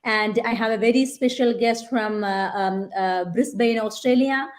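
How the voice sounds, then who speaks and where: Woman host, upper voice, relaxed rambling live-stream interviewer. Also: a little high, normal speed. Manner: chatty, many fillers and restarts.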